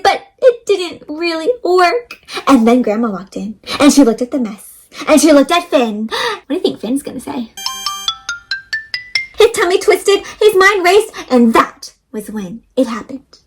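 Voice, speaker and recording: A woman reads a picture book aloud to young children in a high, very lively voice. She is expressive and exaggerated, addressing the listeners directly with questions. Music plays along with her voice. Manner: animated, expressive, asks listeners questions with pauses. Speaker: host of children's read-aloud.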